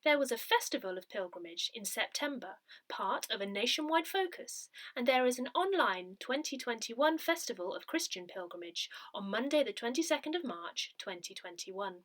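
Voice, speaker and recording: A woman reading written articles aloud in a measured, clearly phrased way, as for an audio newsletter. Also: fairly high pitch, very animated, fairly bright tone. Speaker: newsletter reader.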